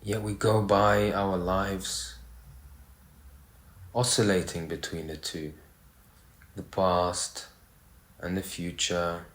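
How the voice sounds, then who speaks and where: A man leading a guided meditation, speaking in short phrases with pauses between them. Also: low voice, level tone, a bit bright. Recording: roomy, echoey sound.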